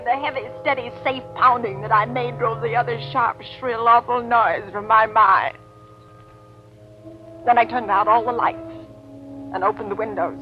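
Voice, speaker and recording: An actress playing a well-to-do, unnerved woman recounting a disturbing ordeal, in dramatic, deliberate 1940s radio style. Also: a little high, natural voice. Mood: agitated. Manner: dramatic, deliberate, emphatic repetition. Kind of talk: 1940s radio acting, first-person suspense narration. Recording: muffled vintage radio recording, music behind.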